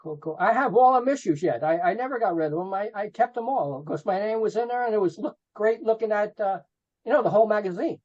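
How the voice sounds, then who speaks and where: A man's voice: high-pitched, expressive, quick pace, a little mellow. A middle-aged man reminiscing casually as an interview guest, informal and peppering his talk with "you know". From New Jersey, USA. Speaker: podcast guest, rock photographer. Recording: dull lo-fi call audio.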